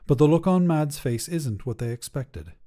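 A man's voice: on the high side, expressive, normal speed, dark tone. A man narrating a scripted short story as a podcast reader, with a dramatic delivery.

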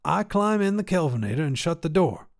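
A man's voice: a little high, big pitch swings, fairly quick, vocal fry, pitched above the performer's own voice. A man voicing a stubborn old grandfather who tinkers with inventions, talking in a folksy, clipped, insistent way.

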